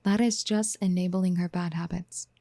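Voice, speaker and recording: A woman playing a possessive, motherly yandere in a soft-spoken ASMR roleplay, gentle and coaxing, often slipping toward a breathy whisper. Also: lowish voice, steady tone, moderate pace, dry recording, studio quality. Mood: soothing, persuasive. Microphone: close studio mic.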